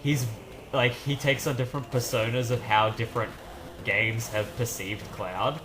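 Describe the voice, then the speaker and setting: A man chatting casually in a let's play, telling stories in an animated, rambling way. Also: mid-range voice, moderate pace, noisy background. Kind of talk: casual gaming commentary chat. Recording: game music behind the voice. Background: video game music.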